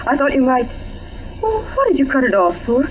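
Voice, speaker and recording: An actress playing a composed, affectionate, knowing young wife in 1940s radio drama style. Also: female voice, sits high, very animated, fairly quick. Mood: knowing, tender. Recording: muffled, faintly noisy 1940s radio recording.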